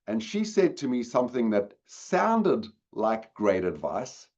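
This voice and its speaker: A man's voice: medium pitch, wildly swooping pitch, takes it slowly, a little mellow, highs cut off. A man with a South African accent giving a webinar talk, addressing the audience directly and engagingly. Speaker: guest speaker. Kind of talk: webinar presentation. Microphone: video call.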